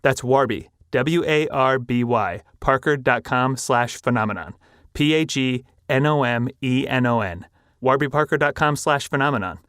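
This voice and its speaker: A man's voice: mid-range voice, moderate pace, fairly bright tone, clear, resonant tone, dry recording. Dry, close-sounding man reading an ad. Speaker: podcast host reading a sponsor message. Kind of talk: scripted ad read, friendly direct address.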